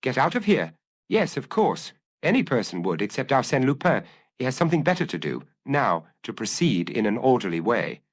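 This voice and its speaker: A man voicing a cocky but rattled gentleman thief who talks to himself in a theatrical, self-mocking way in an audiobook. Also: medium pitch, very animated, moderate pace, voice pushed up. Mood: agitated self-reasoning.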